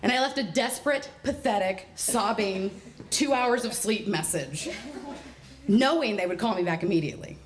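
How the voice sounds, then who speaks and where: Wry, lively woman telling a stage monologue. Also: medium pitch, normal speed. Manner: wry, exasperated storytelling, voicing others in quotes. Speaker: monologue performer. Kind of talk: comic personal monologue on stage. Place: live stage before an audience.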